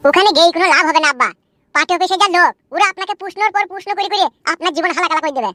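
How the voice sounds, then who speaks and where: A woman acts out a young village son-in-law in a comic cartoon, blunt and tired of it all. The voice is high and hurried, nagging in a folksy way. It is close and dry, over light music. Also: bright, forceful tone, no room sound.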